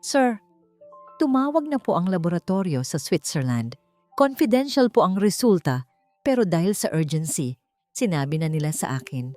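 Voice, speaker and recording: A woman's voice: lowish voice, very animated, moderate pace, mellow, soft background music. A woman voicing an anxious, deferential male assistant in an audiobook, respectful and urgent. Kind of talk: audiobook character acting.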